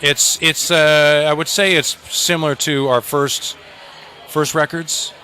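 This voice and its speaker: A man's voice: on the high side, steady tone, slow, sharp tone, soft background music. A man in a rock band chatting casually in an outdoor festival interview, joking and rambling with restarts.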